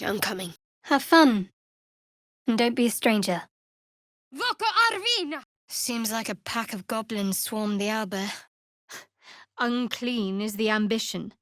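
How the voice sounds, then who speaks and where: A woman playing a guarded, sardonic young cleric of a dark goddess in a video game, with a dry, wry delivery. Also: mid-range voice, animated, slow pace, pauses often, no room sound. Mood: dry, curious, then teasing, then fierce. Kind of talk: dramatic video game voice acting. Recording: very dry close studio recording.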